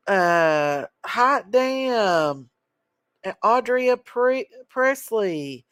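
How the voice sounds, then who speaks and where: A woman's voice: medium pitch, no room sound. A woman, stuffed up with a cold, hosting a casual livestream chat and reading out viewer names. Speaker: livestream host.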